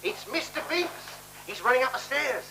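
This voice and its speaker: A man's voice: very high-pitched, sing-song, vocal fry, full character voice. A man playing a mild, agreeable hotel guest in a 1970s radio play, soothing and full of childlike wonder. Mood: excited wonder. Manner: placating, deliberate, echoes others' phrases. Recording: noisy, humming 1970s radio recording.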